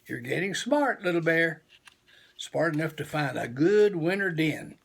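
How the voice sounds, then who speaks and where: A man voicing a proud, encouraging father bear in a children's storybook, coaxing gently and repeating his reassurances. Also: on the high side, sing-song, measured pace, vocal fry, husky, heavily acted. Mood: proud, tender. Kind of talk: children's storybook read-aloud.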